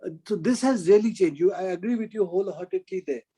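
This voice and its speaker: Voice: medium pitch, quick pace, mellow, slightly rough. A moderator who breaks in to add a point, then hands the floor to the next questioner. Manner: interjects, then speaks at length. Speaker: moderator of a discussion. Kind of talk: moderating a panel discussion.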